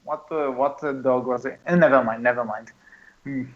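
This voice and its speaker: A man co-hosting a casual podcast about animated films, talking in a halting, rambling way that repeats phrases. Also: medium pitch. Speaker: podcast co-host. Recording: dull lo-fi voice chat audio.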